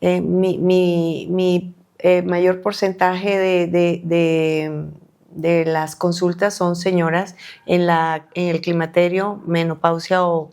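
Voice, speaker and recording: A middle-aged woman from Colombia, a doctor being interviewed on a podcast, speaking in a halting way with many fillers and restarts. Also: fairly low pitch, flat delivery, darkish tone. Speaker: guest doctor.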